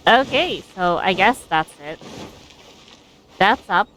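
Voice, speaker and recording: A woman livestreaming a video game, thinking aloud casually in short, halting phrases. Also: medium pitch, animated, a bit bright, slight background noise, low-quality recording. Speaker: game streamer. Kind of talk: casual livestream gameplay commentary.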